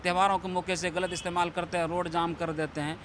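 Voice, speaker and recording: A man's voice: high voice, level tone, quick pace, a bit bright, slight background noise. A man, an imam, giving interview answers in formal, diplomatic, balanced phrasing.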